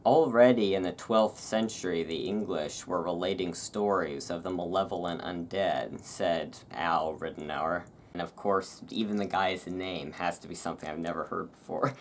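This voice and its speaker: A person reading aloud in a relaxed way with casual, rambling asides, halting and self-deprecating about it. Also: low-pitched, a little hiss or hum. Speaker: reader and host. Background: thunderstorm ambience.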